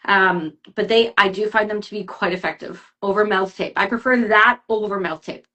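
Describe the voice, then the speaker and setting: A woman working as a health coach, giving advice in a chatty podcast conversation with quick asides. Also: medium pitch, pushed and bright, dull audio. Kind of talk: casual podcast chat.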